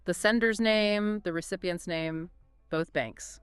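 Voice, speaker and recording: A woman co-hosting a scripted discussion, telling the story with lively emphasis. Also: on the low side, unhurried, clear, resonant tone, no echo, soft background music. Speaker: podcast co-host. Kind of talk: scripted two-host discussion.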